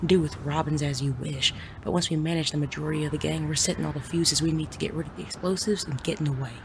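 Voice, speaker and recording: A sardonic gentleman outlaw from a western audio drama, acted by a woman. The voice is gravelly and articulate, smoothly persuasive in manner. Clear noise runs behind it. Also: low-pitched, steady tone, fast talker. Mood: cool, persuasive. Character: suave, sardonic gentleman bandit of the Wild West.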